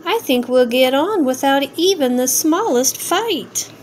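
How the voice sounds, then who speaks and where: A woman's voice: sits high, animated, a little slow, a little hiss or hum. A woman voicing a friendly, eager little male pug in a rhyming storybook, with an agreeable manner.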